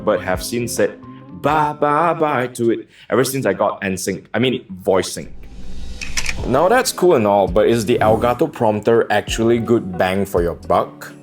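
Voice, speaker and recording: A man with a Malaysian accent reviewing gear from a script, dropping wry joking asides. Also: on the low side. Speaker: tech reviewer.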